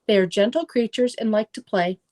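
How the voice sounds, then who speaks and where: A woman's voice: medium pitch, fairly quick, a little mellow. A middle-aged woman reading a children's nonfiction book aloud, carefully, with simple explanatory asides for young listeners. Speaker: children's read-aloud presenter.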